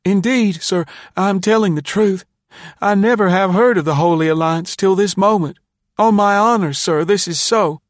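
An earnest, polite teenage boy in an audiobook tale, voiced by a man, pitched well up from a grown man's register. He is a frightened, pleading youth, and the sound is close and dry. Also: fairly even intonation, unhurried, no echo, lightly acted. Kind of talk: audiobook character dialogue.

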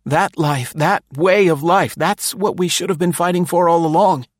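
Man putting on a frantic, fearful middle-aged paranoid, strained and raised in pitch, loud and pressing, near tears. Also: moderate pace, dry recording, close to an everyday voice. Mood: wistful. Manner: frantic, pleading, close to sobbing.

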